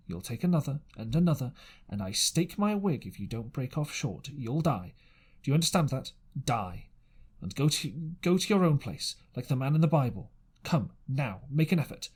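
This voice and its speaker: A man reading as a stern country doctor from an old adventure story. He is blunt, commanding and scornful, lecturing in a husky voice. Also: mid-range voice, sing-song, moderate pace, a little mellow. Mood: stern, scolding.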